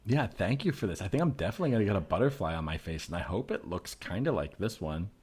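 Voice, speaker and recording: A man's voice: sits low, sing-song, fast talker, darkish tone, slightly rough. A man doing improvised conversational comedy as a comedian.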